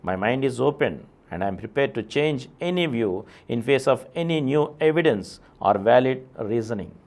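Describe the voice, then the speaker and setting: A man with an Indian accent reads an essay aloud in a creaky, gravelly voice of medium pitch. His delivery is formal and declamatory, with deliberate phrases that start with emphasis and trail downward. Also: animated, a little mellow. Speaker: reader presenting an article. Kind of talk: scripted reading of an essay.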